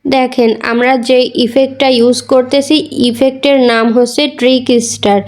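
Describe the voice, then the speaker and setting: A woman's voice: sits high, little pitch movement, moderate pace, a little mellow. A woman giving a casual, instructional how-to voice-over, mixing in English words. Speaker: tutorial creator.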